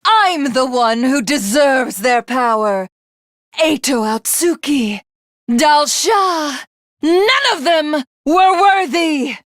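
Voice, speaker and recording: A woman's voice: high-pitched, lively intonation, slow pace, a bit bright, dry recording, clean studio sound, heavily acted. A woman voicing a haughty, ruthless alien villainess in a video game, with a commanding, imperious and grandiose delivery. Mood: furious, megalomaniacal.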